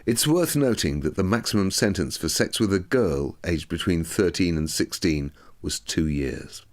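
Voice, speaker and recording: Low-voiced man narrating. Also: animated, moderate pace. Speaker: audiobook narrator. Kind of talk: scripted documentary narration.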